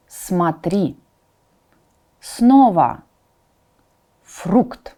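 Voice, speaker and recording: A woman teaching a pronunciation lesson in a soft-edged, mellow voice on the lower side. She takes her time, modelling example words and repeating them so learners can follow. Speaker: Russian language teacher.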